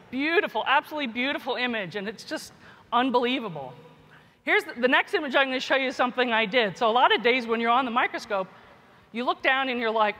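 A woman's voice: high voice, pushed and bright. A woman giving a conference keynote as a scientist and teacher, informal, often adding "right?" and "you know". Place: large conference hall. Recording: echoey hall, long reverb.

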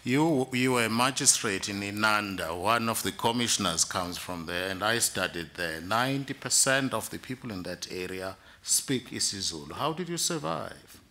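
A man's voice: on the low side, lively intonation, moderate pace, hardly any pauses, pushed and bright. A man on an interview panel, asking direct, pointed questions.

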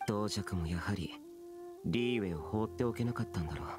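A man playing an aloof, youthful immortal warrior in an anime game, speaking gravely and curtly. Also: lowish voice, brisk, close to an everyday voice. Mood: quiet, pensive. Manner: curt, grave, stern. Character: solemn, aloof immortal warrior guardian, young-looking man. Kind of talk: Japanese anime game voice acting. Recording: game music behind.

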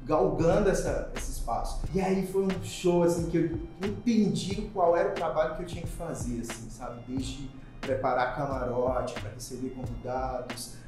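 A man in his thirties with a Pernambuco Brazilian accent talks as a guest in an interview, animated and laughing easily. His voice sits a little high for a man. It rings hard in a bare, very echoey room, with music playing faintly behind him. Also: normal speed, hardly any pauses. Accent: Pernambuco Brazilian Portuguese. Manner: animated, gestures a lot, laughs often. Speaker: press officer guest. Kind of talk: casual interview chat.

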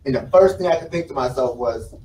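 A man's voice: medium pitch, lively intonation, fairly quick, mellow. A man in his thirties with an American accent, telling his story conversationally as a motivational speaker, repeating phrases for emphasis. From South Carolina, United States. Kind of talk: informal motivational speech to a live audience. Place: indoor conference room with a small stage. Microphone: camera mic from the audience, several meters away. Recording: roomy, distant mic, some background noise.

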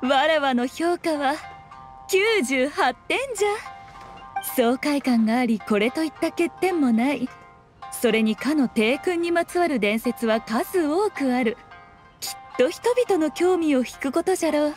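An actress playing a sly, self-assured fox shrine maiden in a Japanese video game, speaking in a lofty, archaic, composed manner. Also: female voice, high voice, lively intonation, soft background music. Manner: archaic, lofty, unhurried speech with teasing composure.

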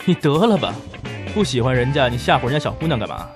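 A man's voice: medium pitch, sing-song, quick pace. A man playing a teasing, cheeky young boss and buddy in an amateur audio drama, with a playful manner. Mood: mock reproach. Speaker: amateur voice actor. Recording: loud music behind the voice.

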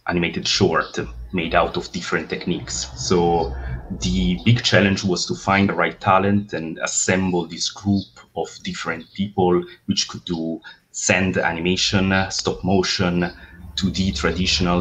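A man in his thirties with a Swiss Italian accent, a guest chatting in a relaxed, joking way on a video call, with frequent uh fillers. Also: sits low, poor audio. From Italian-speaking Switzerland. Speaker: guest animation director. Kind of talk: casual video-call interview. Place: home room, indoors. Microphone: webcam on a video call.

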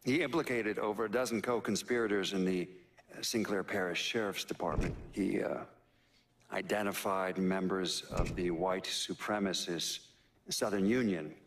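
An older actor playing a measured investigator in a game's mock documentary interview, matter-of-fact and hesitant. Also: male voice, deep voice, steady tone. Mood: grave, measured. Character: older man, measured investigator recalling a case.

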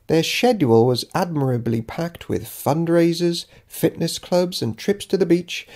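A man's voice: on the high side, dark tone. A man reading an audiobook as Judas Iscariot, a self-important, grudging disciple keeping a diary, in a dry, theatrical, pompous manner. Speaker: author reading own audiobook. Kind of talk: dramatic audiobook narration of a diary.

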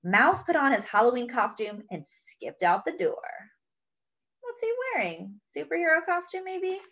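Bright, animated woman reading aloud. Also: high-pitched, normal speed, highs cut off. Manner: expressive, chats to the child listener. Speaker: children's story reader. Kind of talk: read-aloud storytelling.

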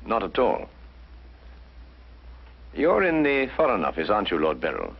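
An actor playing a brilliant, haughty English gentleman detective, precise and pompous, in the manner of 1950s television drama. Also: fairly low pitch, wildly swooping pitch, moderate pace, gravelly, slightly rough, heavily acted. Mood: cool, probing. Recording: dull 1950s broadcast soundtrack, faint music.

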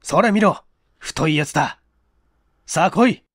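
A performer voicing a gruff male police detective in a mystery audiobook, curt and scolding. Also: medium pitch, lively intonation, unhurried, a bit bright, dry recording, studio quality.

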